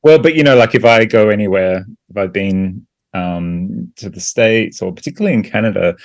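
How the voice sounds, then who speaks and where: A man with a mild Australian accent talks as a guest, reflective and hesitant, circling his thoughts with fillers. His voice is very low, and the recording is dry and close. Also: moderate pace, no echo. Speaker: guest musician. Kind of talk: casual interview chat.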